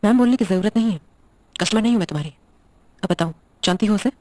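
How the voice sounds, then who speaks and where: A woman's voice: mid-range voice, fast, darkish tone, a little hiss or hum, close to an everyday voice. A woman playing a blunt, controlling widowed wife in an audio drama, speaking in a curt, sardonic, interrogating way. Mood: curt, probing. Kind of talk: Hindi audio drama acting.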